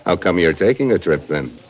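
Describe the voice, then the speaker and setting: An actor playing a hard-boiled, wry American adventurer in a 1950s radio drama, terse and dry, with an American accent. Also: male voice, deep voice, very animated, normal speed. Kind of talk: 1950s radio acting, first-person narration and dialogue.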